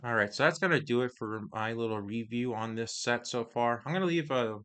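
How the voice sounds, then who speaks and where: A man with a bright, ringing voice talks through new trading cards in a relaxed review, reading card text aloud and then giving his take. He talks haltingly, with pauses and restarts, and his voice is going a little hoarse. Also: medium pitch. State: voice getting hoarse.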